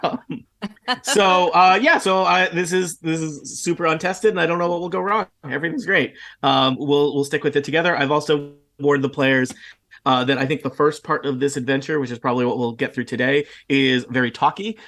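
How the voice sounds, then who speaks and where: A man with a slightly raspy voice, the game master, chatting loosely and joking at his own expense before a tabletop game. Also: a little high, fairly even intonation, a little fast, fairly bright tone. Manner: loose, rambling, self-mocking.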